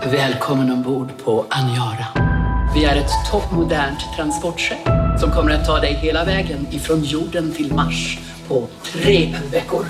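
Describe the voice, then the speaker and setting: A male actor as a welcoming spaceship announcer in a film. His voice is slightly high and polished, like a promotional greeting, with loud music underneath. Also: moderate pace, natural voice.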